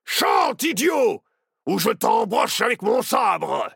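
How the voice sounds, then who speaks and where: A man voicing a menacing, bad-tempered ghostly pirate captain in a children's story, raspy and creaky, barking orders and threats. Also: mid-range voice, wildly swooping pitch, slow pace, bright, forceful tone, dry recording, clean studio sound, voice pushed up, heavily acted. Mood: furious. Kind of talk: theatrical children's story villain.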